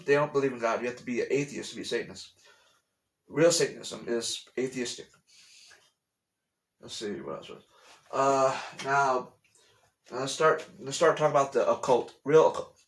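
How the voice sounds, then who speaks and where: A man in a casual home vlog, talking in a middle-pitched voice. He rambles, circles back to repeat phrases and bluntly waves off conspiracy theories. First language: English. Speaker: vlogger. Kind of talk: casual explanatory monologue. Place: at home, room with a TV.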